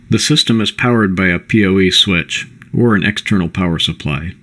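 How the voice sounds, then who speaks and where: Low-pitched man narrating a corporate presentation. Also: fairly bright tone. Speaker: presenter of a company product overview.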